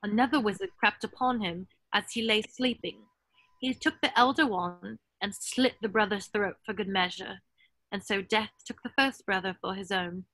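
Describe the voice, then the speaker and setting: A woman's voice: mid-range voice, moderate pace, fairly bright tone, dry recording, lightly acted, low-quality recording. A woman playing an earnest, bookish young witch in a scripted table read over a video call. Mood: solemn storytelling. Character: bookish, earnest young witch reading a dark fairy tale.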